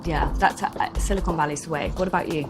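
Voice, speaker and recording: A woman's voice: low voice, steady tone, fast, lightly acted. A woman playing a friendly, self-assured young date, chatting casually and hesitantly with plenty of filler. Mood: bashful, friendly. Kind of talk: casual conversational dialogue. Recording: music clearly behind the voice.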